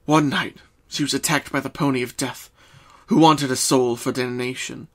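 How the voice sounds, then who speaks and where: A man reading a scripted story as narrator, in a solemn, deliberate and dramatic way. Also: medium pitch, steady tone, fairly bright tone.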